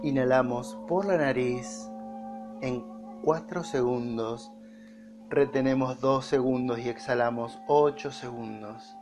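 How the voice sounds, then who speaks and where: A man with a mid-range voice guides a meditation very slowly. He speaks in short, deliberate phrases and leaves pauses between them. Music plays clearly behind his voice. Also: mid-range voice, steady tone. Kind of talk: slow guided meditation narration.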